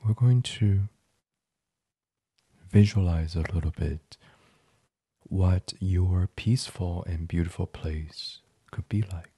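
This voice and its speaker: A man leading a sleep meditation in an ASMR style, gentle and soothing, breathy and often half-whispered. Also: deep voice, slow pace, pauses often, no room sound, studio quality. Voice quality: breathy, hushed. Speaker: guided meditation host.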